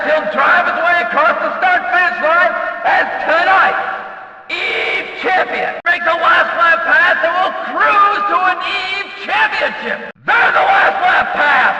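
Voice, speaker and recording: A man playing an excitable, cheeky stock car race announcer in a video game, with emphatic, showy delivery. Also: very high voice, heavily acted. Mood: thrilled. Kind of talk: excited racing commentary. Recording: echoey PA-like hall, distant and dull.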